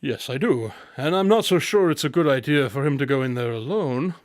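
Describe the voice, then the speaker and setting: An actor playing a self-assured, unruffled detective in a radio drama. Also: male voice, medium pitch, expressive, fairly quick, no room sound, clean studio sound, natural voice.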